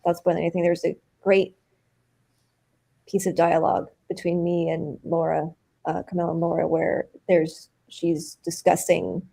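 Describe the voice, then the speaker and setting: Gravelly, mellow-voiced young-sounding actress. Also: female voice, fairly low pitch, normal speed. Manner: animated, uses many "like" fillers. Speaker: actor. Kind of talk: live-stream interview.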